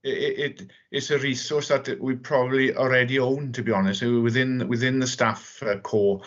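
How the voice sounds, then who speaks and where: A man, a councillor questioning at a formal meeting, halting and repeating his words. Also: medium pitch, fairly even intonation, highs cut off. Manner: halting, repeats words, switches Welsh and English.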